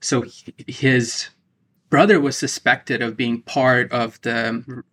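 A man, recalling his family history in an interview, halting with many restarts and fillers. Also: medium pitch, fairly even intonation, a bit bright. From Ukraine. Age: 30s. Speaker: interview guest, divinity student. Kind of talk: personal recollection in an interview.

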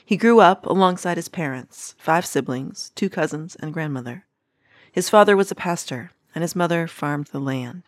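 A woman podcast host reading a scripted introduction in a measured, steady way. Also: deep voice, no room sound, clean studio sound. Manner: measured, even-paced reading. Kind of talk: scripted narration.

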